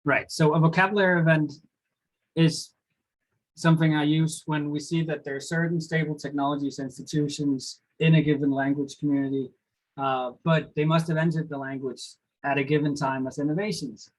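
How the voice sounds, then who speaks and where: Danish-native man giving a halting lecture. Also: on the high side, fairly even intonation, low-quality recording. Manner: hesitant, many fillers and restarts. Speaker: doctoral researcher lecturing. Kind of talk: academic lecture with slides.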